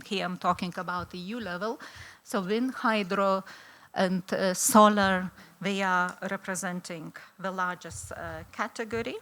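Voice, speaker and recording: A woman's voice: medium pitch, fairly bright tone. A middle-aged woman from Lithuania with a Lithuanian accent, giving an academic conference talk in a chatty way with frequent ums and restarts. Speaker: conference speaker, law professor.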